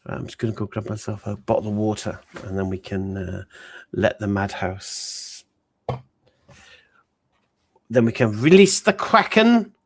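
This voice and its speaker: A man's voice: on the low side, a little slow, pauses often. A man hosting a casual live stream, reading out viewer questions and answering them bluntly. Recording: steady background noise.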